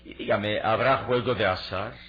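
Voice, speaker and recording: An actor playing a suave, confident adventurer hero in a vintage radio drama, with a measured, courteous and calculating delivery. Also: male voice, lowish voice, lightly acted. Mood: calm, probing. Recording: dull, noisy old radio drama recording.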